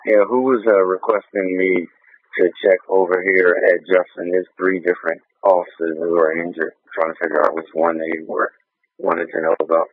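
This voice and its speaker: Low-voiced man on tinny police radio. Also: little pitch movement, normal speed, no echo. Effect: two-way radio. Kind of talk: terse police radio dispatch talk. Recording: tinny band-limited police scanner radio.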